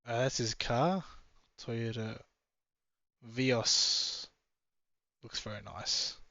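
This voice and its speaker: A man's voice: mid-range voice, drawn-out words, vocal fry, bright, forceful tone, dry recording. A man giving a casual, chatty running commentary from inside a moving car, musing aloud and drifting off topic. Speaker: travel vlogger. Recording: slightly dull, dry sound.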